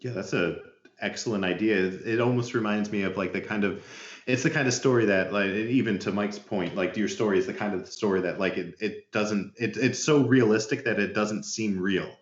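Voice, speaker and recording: A man co-hosting a casual podcast, relaxed and thinking aloud. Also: fairly low pitch, brisk. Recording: roomy, muffled remote audio.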